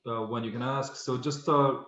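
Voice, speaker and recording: A man's voice: mid-range voice, fairly even intonation, normal speed, a bit bright. A man who is a student club host, opening an event with a formal, hesitant introduction full of fillers over a video call. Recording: echoey room, dull lo-fi call audio.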